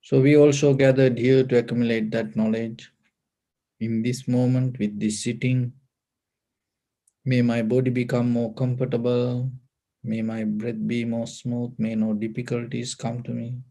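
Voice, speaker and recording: A man leads a guided meditation as a Buddhist teacher, giving calm, deliberate instructions in short phrases. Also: mid-range voice, gravelly.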